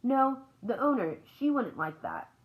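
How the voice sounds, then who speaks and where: A woman voicing a shy male pet shop clerk in a storybook, halting, unsure and mumbling. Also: a little high, very animated, phone-quality sound, pitched above the performer's own voice. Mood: hesitant.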